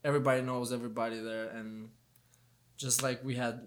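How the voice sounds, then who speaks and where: A young man from Albania, a fighter guest in a casual interview, talking in a relaxed, hesitant way with many fillers and restarts. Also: mid-range voice, a little fast, slight background noise.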